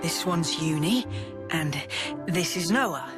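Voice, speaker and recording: Very slow, hesitant young boy. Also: fairly low pitch, expressive, music in the background. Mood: shy, hopeful. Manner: hesitant, mumbling. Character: shy young boy who loves crafting, self-doubting.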